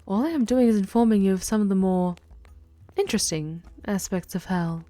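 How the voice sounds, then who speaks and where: A woman playing a charming demon queen who guides a traveller through hell in an ASMR roleplay, speaking in a formal, theatrical, deliberate way with breathy near-whispers. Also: mid-range voice, warm tone, dry recording, close to an everyday voice. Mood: teasing, measured. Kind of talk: ASMR roleplay, dramatic monologue addressed to the listener.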